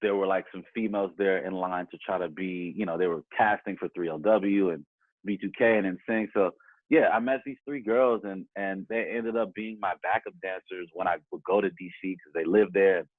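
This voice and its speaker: A man with a fairly low voice talks in a loose, slangy, conversational way as an interview guest. He sounds thin and narrow, like a phone call, with some room echo around him. His stories come out in a casual ramble. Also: moderate pace. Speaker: podcast guest, music performer. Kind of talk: casual chat, telling career stories.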